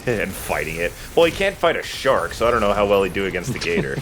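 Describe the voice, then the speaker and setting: A man's voice: on the low side, sing-song, quick pace, a bit bright, a little hiss or hum. A man giving casual, matter-of-fact Let's Play commentary, explaining the game in a laid-back, sweary way. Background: game music.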